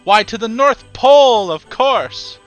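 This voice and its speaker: A man's voice: very high voice, expressive, slow, soft background music, voice pushed up, exaggerated voice. A man voicing a hearty, commanding train conductor in a storybook, with emphatic, theatrical delivery. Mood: booming, jolly. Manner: emphatic, projecting, theatrical announcing. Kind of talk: storybook character voice.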